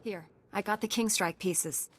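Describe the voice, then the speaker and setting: Noble game knight, average pitch, composed, formal, dutiful, assured. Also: female voice, measured pace, a bit bright, breathy edge. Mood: resolute. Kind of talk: video game voice acting.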